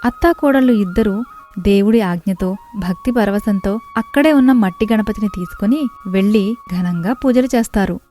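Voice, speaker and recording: A woman's voice: mid-range voice, normal speed, warm tone, soft background music. A woman narrator reading a scripted story.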